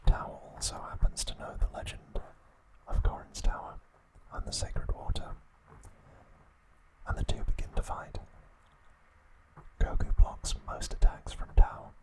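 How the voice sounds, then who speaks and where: A man narrating an episode recap as an ASMR host, speaking deliberately in short phrases with pauses. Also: poor audio.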